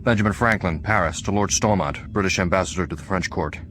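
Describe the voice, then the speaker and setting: An actor playing a dignified, stern American statesman in a radio drama, reading out formal letters in a grave, measured manner. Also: low-pitched, fairly bright tone, a little hiss or hum. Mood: stern, warning.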